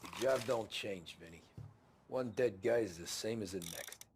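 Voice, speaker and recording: A man's voice: fairly low pitch, moderate pace, noisy background, lightly acted. An actor in a video game playing a cool, wary American mob hitman with a dry, terse delivery. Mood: cold, matter-of-fact. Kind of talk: cinematic video game acting.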